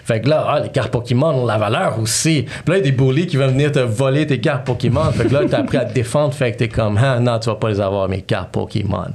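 A man's voice: mid-range voice, quick pace, few pauses, darkish tone, breathy edge. A man in his thirties with a Quebec French accent, telling his life story as a podcast guest, freely mixing English slang into his French. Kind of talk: casual storytelling interview.

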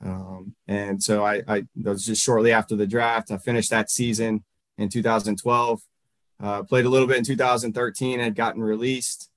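A man in his thirties from Northern Virginia, a baseball coach answering interview questions in a halting way, full of fillers and restarts. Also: fairly low pitch, little pitch movement, no echo.

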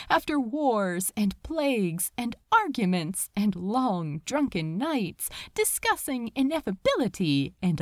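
A woman reading a story aloud as dramatic literary narration, emotive, with drawn-out phrases and pauses. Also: mid-range voice, dry recording, clean studio sound. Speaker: audiobook reader of fan fiction.

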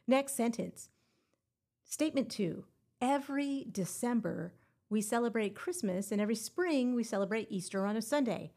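A woman's voice: fairly high pitch, animated, moderate pace, dark tone. A woman hosting a teacher-exam prep podcast, talking in a playful, encouraging way with little puns. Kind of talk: scripted educational podcast.

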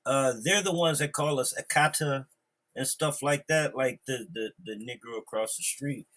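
A man's voice: mid-range voice, normal speed, fairly bright tone. A man talking bluntly and profanely in a casual, heated group discussion. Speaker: podcast discussion participant.

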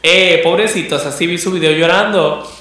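A man's voice: fairly high pitch, moderate pace, sharp tone. A young man with a faint Venezuelan accent chatting casually as a co-host, campy, exclamatory and joking. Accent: faint Venezuelan, largely softened. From Maracaibo, Venezuela. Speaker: podcast co-host. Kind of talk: casual comedic chat. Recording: echoey room.

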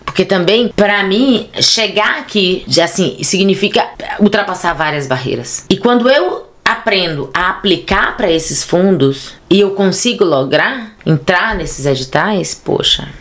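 A Brazilian woman in her thirties with a Brazilian Portuguese accent, chatting animatedly as a podcast guest. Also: medium pitch. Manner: animated, fast bursts of speech. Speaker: podcast guest, theater artist. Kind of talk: casual conversation.

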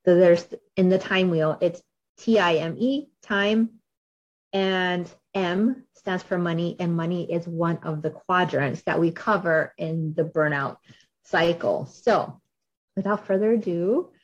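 A woman's voice: lowish voice, unhurried. Bubbly podcast host woman, muffled audio. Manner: bubbly, chatty, many fillers. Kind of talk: podcast interview. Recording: dull lo-fi audio.

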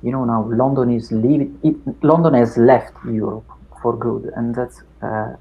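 A middle-aged man with an Italian accent, Italian his first language, talking through his past in an unhurried interview with many fillers and restarts. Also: mid-range voice, steady tone, gravelly, highs cut off. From Italy. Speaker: academic guest. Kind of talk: reflective interview chat.

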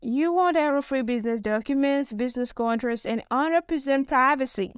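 Nigerian lady coaching business english, talks right at you, close dry sound, clear tone. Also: high-pitched, normal speed, no echo. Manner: emphatic, addresses the listener directly. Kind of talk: podcast coaching talk.

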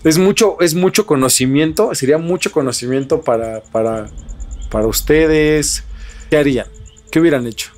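A man's voice: fairly high pitch, normal speed, a little mellow. A Mexican man hosting a casual podcast, repeating and rephrasing his phrases for emphasis. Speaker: podcast host.

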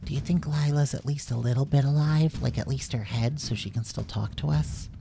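A man's voice: medium pitch, fairly even intonation, fairly quick, gravelly, dark tone, faint music behind, pitched above the performer's own voice, close to an everyday voice. A man voicing a small, naive male creature with a tail, blunt and oddly cheerful. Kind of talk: storybook character voice.

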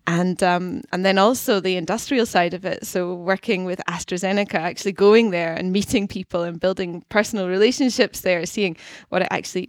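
Podcast guest: Scottish woman, thirties, gravelly, relaxed filler-heavy chat. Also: mid-range voice, dry recording, clean studio sound. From Scotland. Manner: chatty, many ums and uhs, laughs easily. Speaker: guest scientist. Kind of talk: podcast interview. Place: studio.

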